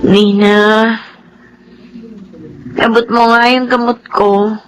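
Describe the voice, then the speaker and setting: A woman's voice: a little high, flat delivery, takes it slowly, faint music behind, poor audio. A woman with a Filipino accent acting an anguished, resentful young wife in a radio drama, crying as she speaks. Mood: bitter, weary.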